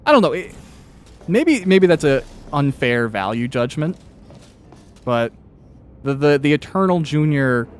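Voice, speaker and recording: A man's voice: a little high, sing-song, a little slow, long pauses, clear, resonant tone. A man who works as an author, interviewing someone casually in a candid, rambling, self-reflective way.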